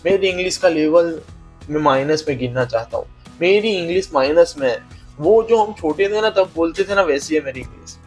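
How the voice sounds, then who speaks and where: A young man with an Indian accent talks straight to camera in a casual vlog. His English comes slowly and haltingly, while his Hindi stretches run quick and chatty, in a slightly raised voice. Faint guitar music plays underneath. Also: on the high side, animated, slightly rough, rough recording. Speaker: YouTube creator teaching spoken English.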